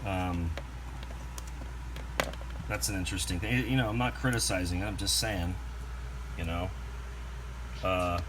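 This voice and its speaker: A man's voice: low voice, unhurried, pauses often. A man giving a casual, rambling unboxing commentary with wry asides, as a collector showing off a toy. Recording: noisy homemade video recording.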